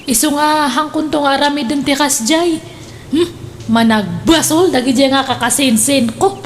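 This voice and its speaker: A woman's voice: high-pitched, moderate pace, music in the background, close to an everyday voice. A woman playing a pious, meek mother in an Ilocano radio comedy drama, with an Ilocano accent. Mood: earnest.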